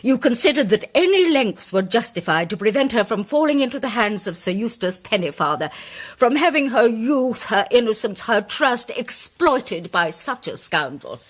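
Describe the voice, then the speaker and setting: An actress playing an imperious, righteous British woman detective, declaiming deliberately in a theatrical radio drama style. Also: a little high, big pitch swings. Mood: solemn, accusing. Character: imperious middle-aged woman, righteous amateur detective accuser.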